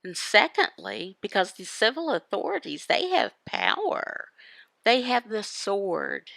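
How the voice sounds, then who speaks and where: A woman giving a devotional Bible teaching in emphatic, halting phrases, asking questions and answering them. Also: mid-range voice, huge pitch swings, creaky, fairly bright tone, dry recording. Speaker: voice interpreter for a signed devotional. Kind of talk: devotional Bible teaching, voiced from sign language.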